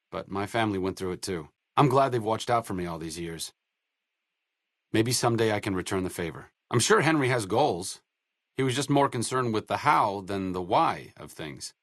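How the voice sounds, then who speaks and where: An actor playing an idealistic, self-deprecating young wasteland doctor in a video game, speaking in an articulate, conversational way with dry wit. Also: male voice, lowish voice, no echo, lightly acted. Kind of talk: video game dialogue acting.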